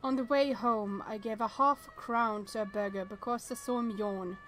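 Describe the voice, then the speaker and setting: A woman's voice: fairly high pitch, fairly even intonation, moderate pace, a bit bright, a little hiss or hum. A woman reading visual novel text aloud and adding chatty reactions as she plays. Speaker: let's-play streamer.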